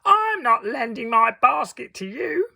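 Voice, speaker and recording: A man's voice: very high-pitched, very animated, a little slow, gravelly, pushed and bright, no echo, clean studio sound, voice pushed up, full character voice. A man voicing rude, selfish fairy-tale neighbours in a curt, dismissive, snappy way.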